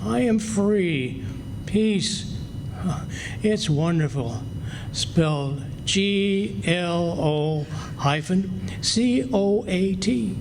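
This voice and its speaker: A man's voice: high voice, very slow, vocal fry, full character voice. A man playing a blustering, gullible, grumbling husband in an old-time radio comedy. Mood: dreamy, hypnotized. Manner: grumbling, excitable, boastful. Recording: noisy live stage recording.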